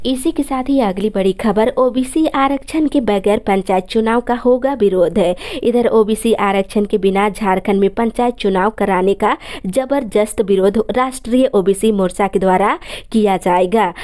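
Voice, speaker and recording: Female newsreader, mid-pitched, steady scripted bulletin delivery. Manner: steady, even headline delivery. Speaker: news reader. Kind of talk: scripted news bulletin reading.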